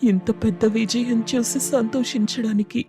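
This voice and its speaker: A man's voice: very high-pitched, fairly even intonation, unhurried, over music, pitched above the performer's own voice. A man voicing an emotional village mother, proud yet worried for her daughters, in a moral story. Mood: proud, wistful. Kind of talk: dramatised moral story acting.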